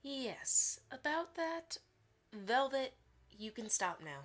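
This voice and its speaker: A woman's voice: high-pitched, sing-song, slow pace, fairly bright tone, full character voice. A woman playing a shrewd, haughty pony publisher in an audio drama, speaking in a pointed, reproving way. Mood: stern, disappointed.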